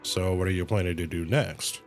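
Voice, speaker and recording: A man's voice: sits low, fairly even intonation, brisk. A man livestreaming a game, casually reading dialogue aloud and reacting in slang, sometimes stumbling and correcting himself. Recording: game music behind the voice. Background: video game music.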